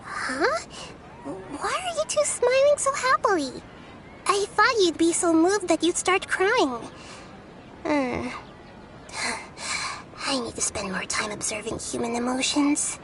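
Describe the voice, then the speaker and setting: A woman voicing a gentle, wise young child goddess in a video game, speaking in a measured, thoughtful and formal way. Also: extremely high pitch, sing-song, noisy background, full character voice. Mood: puzzled, curious. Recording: music playing behind the voice.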